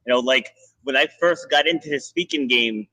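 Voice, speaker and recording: A man hosting a podcast, a fitness coach chatting casually and telling stories in an animated way. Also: fairly high pitch, moderate pace, no room sound, rough recording. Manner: animated storytelling, many restarts. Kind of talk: casual interview chat.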